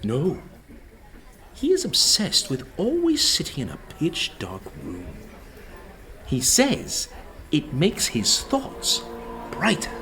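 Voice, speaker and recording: A man's voice: fairly high pitch, wildly swooping pitch, takes it slowly, pauses often, heavily acted, low-quality recording. A man playing a zealous undercover police recruiter in a radio play, with an emphatic, melodramatic delivery. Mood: confiding.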